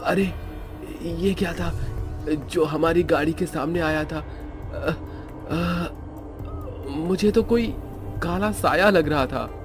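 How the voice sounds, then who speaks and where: A man voicing young male friends in a cartoon horror story, raised and excited, bickering and scoffing. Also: on the high side, takes it slowly, pauses often, pitched above the performer's own voice. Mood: jittery. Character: bickering young men stranded after a car crash. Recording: background music under voice.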